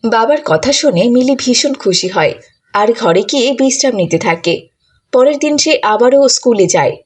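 A woman with a high voice narrates a children's story. She delivers it as a scripted storyteller. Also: expressive, normal speed, darkish tone, slightly rough.

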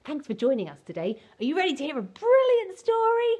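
Very animated high-voiced woman storyteller. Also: a little fast, lo-fi sound. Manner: playful, exaggerated, expressive. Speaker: children's entertainer and storyteller. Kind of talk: theatrical children's storybook reading. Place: small indoor set with fabric backdrop.

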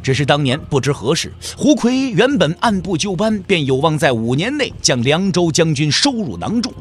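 A man's voice: on the high side, very animated, moderate pace. A man narrating a Chinese martial-arts audio drama in a scripted, dramatic storytelling style. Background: music.

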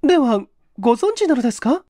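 A woman's voice: high-pitched, very animated, brisk, mellow, no room sound, clean studio sound. A woman playing a distressed, hesitant young office worker in an audio mystery drama, confessing in formal, deferential language. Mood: resolved, earnest.

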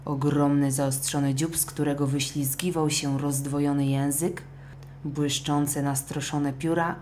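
Low-voiced woman narrating a children's story. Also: level tone, unhurried, a little mellow, slight background noise. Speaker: audiobook narrator.

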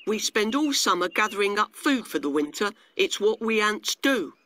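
A man's voice: high voice, animated, normal speed, pushed and bright, no room sound, voice pushed up. Guy doing a busy grumbly worker ant in a kids story, curt and practical, no nonsense. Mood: matter-of-fact. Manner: curt, blunt, dropped-g working talk. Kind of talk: children's storybook character voice.

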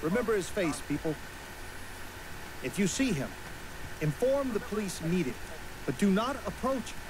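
A man's voice: sits high, sing-song, moderate pace. A male actor playing a smug, sanctimonious TV news anchor in a video game, preachy and alarmist. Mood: grave, sanctimonious. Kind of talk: theatrical news broadcast. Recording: game audio under commentary, background noise.

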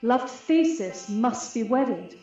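A woman's voice: on the high side, animated, warm tone. A woman playing a proud, aggrieved fairy queen in a Shakespearean radio play, speaking stately, declamatory verse. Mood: indignant. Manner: stately, measured, declamatory delivery. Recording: heavy reverberant echo, muffled, music behind. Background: music.

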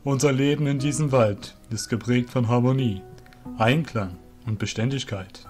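A man voicing a wise, wary old fairy beast master, with a deep, rumbling voice and measured, formal speech. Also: a little mellow, faint music behind, close to an everyday voice. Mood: calm skepticism.

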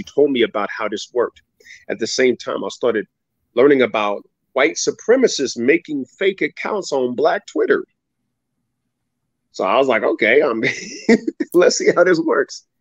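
A man in his thirties telling a personal story as a guest on a video-call interview, animated and dramatic, leaping up in pitch when he acts out other people. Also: no room sound. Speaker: guest speaker. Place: home room with ceiling fan, indoors. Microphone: video call webcam. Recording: dull, dry video call audio.

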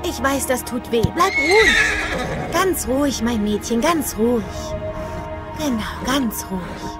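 A woman playing a brave, gentle young rider in an animated adventure, with a caring manner. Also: fairly high pitch, measured pace, over loud music. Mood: soothing, concerned. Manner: caring, soothing.

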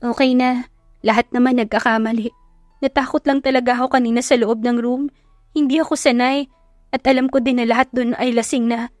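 A woman voicing a soft-spoken, sensitive young working woman in a romance audio story. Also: fairly high pitch, fairly even intonation, brisk, no echo, studio quality, soft background music, pitched above the performer's own voice, lightly acted. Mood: shaken. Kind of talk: acted dialogue in Tagalog romance audio story.

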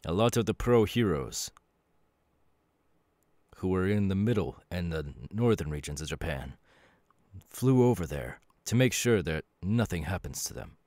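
A man voicing a calm, knowing demon warrior with quiet menace, in a measured, halting, dramatic delivery for an ASMR audio roleplay. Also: deep voice, no room sound, clean studio sound. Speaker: voice actor.

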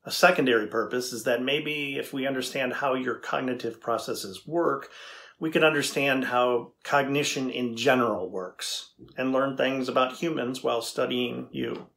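A middle-aged man playing a thoughtful AI researcher in a dramatized interview, deliberate and conversational as he probes. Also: mid-range voice, vocal fry, fairly bright tone. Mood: explanatory, thoughtful. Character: thoughtful male AI researcher questioning a machine. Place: indoor room with backdrop, seated.

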